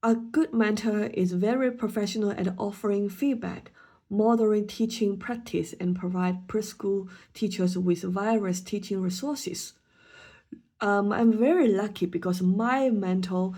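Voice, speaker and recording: A woman's voice: mid-range voice, dark tone. A woman whose first language is Chinese, a student teacher giving a hesitant class presentation with frequent ums and restarts.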